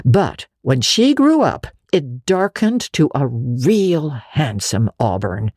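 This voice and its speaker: A woman's voice: very deep voice, big pitch swings, slow, warm tone, no room sound, clean studio sound, exaggerated voice. A woman voicing a kindly but officious older country woman, folksy, hearty and outspoken, in a storybook reading. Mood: hearty, forgiving. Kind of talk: dramatic audiobook reading.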